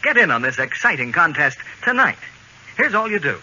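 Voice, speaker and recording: A man's voice: a little high, sing-song, normal speed, a little hiss or hum. A man announcing on 1940s radio with a polished, emphatic, scripted delivery. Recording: dull old radio broadcast recording. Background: loud music.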